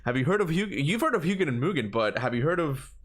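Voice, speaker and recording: A man's voice: fairly high pitch, very animated, fast. A man streaming a video game, chatting casually, thinking aloud, repeating himself and laughing easily. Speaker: gaming streamer. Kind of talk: casual livestream commentary.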